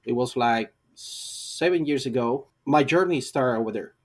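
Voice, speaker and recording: A middle-aged man with a Cuban Spanish accent answers interview questions in English, his second language. He talks haltingly, searching for words and filling the gaps with "uh" and "um", in an even, middle-pitched voice. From Cuba. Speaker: guest, IT professional.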